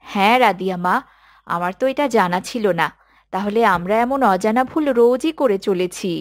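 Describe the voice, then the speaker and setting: A woman's voice: medium pitch, moderate pace, no echo, natural voice. A woman voicing a caring, devout mother in a cartoon, speaking affectionately and expressively to her young daughter. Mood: humbled. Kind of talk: scripted cartoon dialogue.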